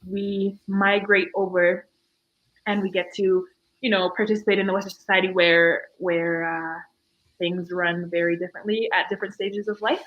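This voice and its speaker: A young woman from Fiji speaks as a podcast guest, sharing her story in a relaxed, chatty way. She tells it with lively energy and breaks into laughter often. Her pitch sits in the ordinary middle range for a woman. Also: dull audio. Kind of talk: casual interview chat.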